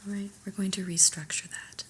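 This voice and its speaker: A woman playing a stern, unimpressed new office boss in an ASMR roleplay, speaking in a breathy whisper with a measured, formal, reproving manner. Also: mid-range voice, level tone, fairly bright tone, a little hiss or hum, natural voice. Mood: firm, disapproving. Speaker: ASMR performer.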